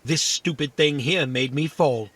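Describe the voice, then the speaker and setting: An actor playing a small, talkative robot in a kids' audio drama, literal, fussy, indignant and boastful. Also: male voice, on the high side, measured pace, fairly bright tone, breathy edge, no echo. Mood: proud, matter-of-fact. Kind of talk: scripted children's audio fiction acting.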